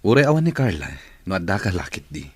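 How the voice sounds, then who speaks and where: An actor playing a polite, flattering company boss in a radio drama, with an Ilocano accent. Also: male voice, low voice, fast talker, slightly rough, no room sound, heavily acted. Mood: earnest, coaxing.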